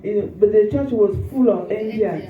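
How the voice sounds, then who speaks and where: A man interpreting a sermon into English live, halting, with many restarts and fillers. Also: sits high, narrow, tinny audio. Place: church hall. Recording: echoey hall from far off.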